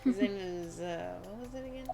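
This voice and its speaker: Female game streamer, casual, medium-pitched, music playing underneath. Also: fairly quick, vocal fry. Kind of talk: casual reactions and reading game text aloud. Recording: music behind the voice.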